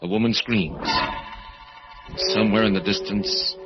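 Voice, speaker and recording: Grave, deep-voiced radio narrator. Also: male voice, steady tone. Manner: measured, dramatic. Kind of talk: scripted old-time radio narration. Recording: muffled old radio recording with music.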